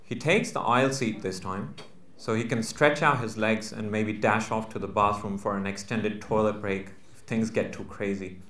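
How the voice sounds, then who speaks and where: A man's voice: on the low side, steady tone, normal speed, a bit bright. Man reading fiction in an echoey room. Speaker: reader at a book launch. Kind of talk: live literary short story reading. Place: live book launch venue. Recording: roomy, echoing live venue recording.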